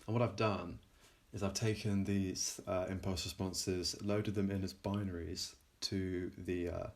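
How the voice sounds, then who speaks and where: A man presenting his own software in an informal technical demo, halting and often pausing mid-sentence. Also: low-pitched, flat delivery. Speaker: plugin developer presenting a demo.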